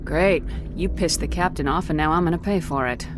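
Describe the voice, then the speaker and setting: A woman's voice: low voice, a little fast, background noise, close to an everyday voice. An actress playing a stern female military commander in a video game. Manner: curt, commanding. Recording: game audio with score.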